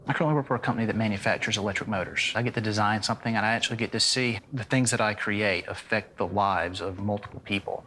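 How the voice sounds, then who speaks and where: A man's voice: on the low side, quick pace, few pauses, bright, forceful tone, slight background noise. A man from the southern United States with an American accent, giving a plain personal testimonial for an advert.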